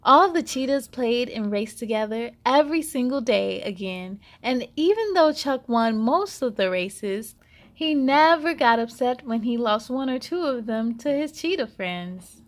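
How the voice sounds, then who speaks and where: A woman with a high voice narrates for young children as a story-time host. She takes her time, phrasing each line deliberately. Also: animated. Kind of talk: animated children's read-aloud narration.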